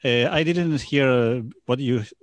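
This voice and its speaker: A man taking part in a casual class chat, speaking hesitantly and haltingly. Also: mid-range voice. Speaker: class participant.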